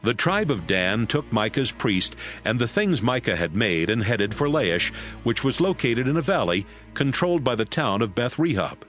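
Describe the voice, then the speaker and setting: A man narrating scripture in a steady, measured way. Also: mid-range voice, faint music behind. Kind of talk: scripted audio Bible narration.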